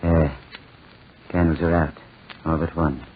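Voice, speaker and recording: A man's voice: rumbling low, level tone, a little slow. An actor playing a tormented, paranoid old man in a melodramatic 1940s radio drama style, repeating himself desperately. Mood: uneasy, brooding. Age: older. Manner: fraught, melodramatic, repeats phrases. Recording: scratchy, noisy, tinny 1940s radio recording.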